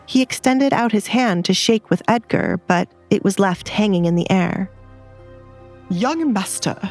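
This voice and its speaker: A woman's voice: medium pitch, normal speed, a little mellow, faint music behind. A woman reading scripted dramatic fiction as the narrator of an audio story.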